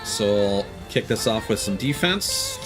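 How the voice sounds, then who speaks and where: Guy streaming a game, thinking out loud, pitch jumps around a lot, game music playing behind him. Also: big pitch swings, measured pace, low-quality recording. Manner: rambling, thinking aloud. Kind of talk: casual live gameplay commentary.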